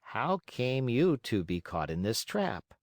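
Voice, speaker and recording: A man voicing a kindly female glowworm in a storybook, pitying and gently admonishing. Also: medium pitch, very animated, takes it slowly, no room sound, clean studio sound.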